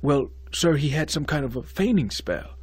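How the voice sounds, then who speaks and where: A man's voice: a little high, expressive, normal speed, slightly rough, no echo, studio quality, voice pushed up. A man voicing an anxious young male student who pleads, stammers and keeps saying sir. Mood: nervous.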